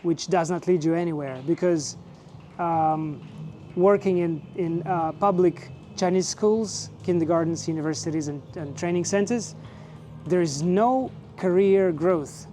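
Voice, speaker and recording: Mellow man telling his story. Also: a little high, slight background noise. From Russia. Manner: relaxed, measured, with fillers and short laughs. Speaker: vlogger and teacher. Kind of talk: casual personal storytelling.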